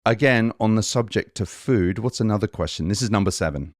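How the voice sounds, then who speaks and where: Man, podcast teacher, lowish voice, upbeat and chatty, dry close recording. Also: fairly quick, dry recording. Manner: chatty, enthusiastic, switches between English and Japanese phrases. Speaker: language podcast host. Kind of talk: casual conversational lesson.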